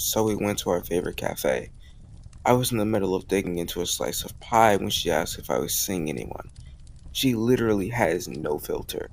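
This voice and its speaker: Man acting as young male love interest, deepish gravelly voice, warm, teasing, conversational, intimate romantic roleplay. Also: heavily acted. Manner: casual, gently teasing, conversational with soft chuckles. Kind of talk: romantic audio roleplay, spoken intimately to the listener.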